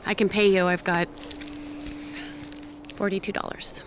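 A woman's voice: mid-range voice, little pitch movement, a little slow, background noise, lightly acted. A woman playing a level-headed, firm hospital nurse in a naturalistic audio drama, clipped and decisive. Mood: subdued, brisk.

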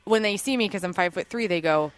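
A woman radio DJ with a St. Louis accent, telling stories in a quick, enthusiastic casual chat. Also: fairly low pitch, dry recording. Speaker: radio DJ, host.

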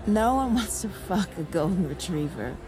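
A woman's voice: fairly low pitch, very animated, normal speed, music in the background. An actress playing a brash, flirty woman who teases bluntly, in a naturalistic audio drama. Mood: teasing. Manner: blunt, teasing, crude-humoured.

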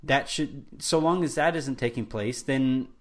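An earnest man co-hosting a sports talk podcast, his voice sitting at an ordinary middle pitch. He lays out his view carefully, one point after another, often restarting his sentences as he thinks them through. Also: measured pace. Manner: earnest, builds his argument step by step with restarts. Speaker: podcast co-host.

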